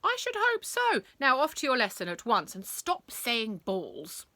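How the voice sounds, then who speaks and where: A woman's voice: on the low side, fairly even intonation, measured pace, bright, forceful tone, clean tone, no room sound. A woman voicing a stern, scolding school headmistress in a storybook, barking bossy orders.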